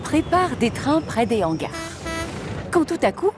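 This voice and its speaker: A woman's voice: high voice, very animated, measured pace. A woman reading scripted narration for a children's story. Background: music behind the voice.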